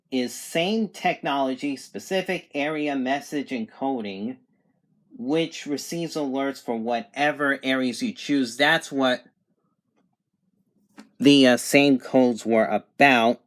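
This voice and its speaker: A person giving a casual product review and demonstration in a halting, hesitant way. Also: medium pitch, no echo. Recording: clear background noise, amateur home recording.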